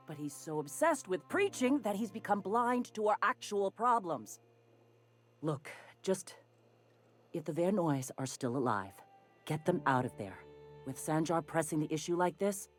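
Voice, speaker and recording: A woman's voice: low-pitched, very animated, normal speed, faint music behind. An actress playing a blunt, exasperated woman rebel officer who swears freely. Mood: urgent.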